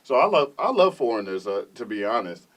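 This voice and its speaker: A man's voice: mid-range voice, sing-song, brisk, distant mic. A man co-hosting a podcast in casual chat, joking and laughing often. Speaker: podcast co-host.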